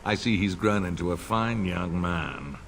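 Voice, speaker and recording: An actor playing a middle-aged, grey-haired noble lord in a fantasy game, formal and courtly in a measured delivery. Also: male voice, low-pitched, creaky, a bit bright, a little hiss or hum, heavily acted. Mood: genial. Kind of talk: scripted fantasy game dialogue. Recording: long reverb, game music behind.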